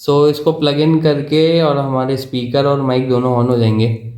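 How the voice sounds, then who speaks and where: A man giving a casual product explanation in a halting, repetitive way. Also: mid-range voice, level tone, darkish tone. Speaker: product reviewer. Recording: roomy, echoey sound.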